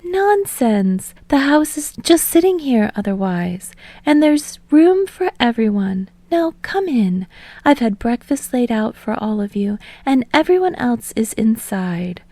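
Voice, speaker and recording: Woman voicing a bright, high, gracious young hostess. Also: sing-song, unhurried, no room sound, exaggerated voice. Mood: gracious, welcoming. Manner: polite, breezy, welcoming.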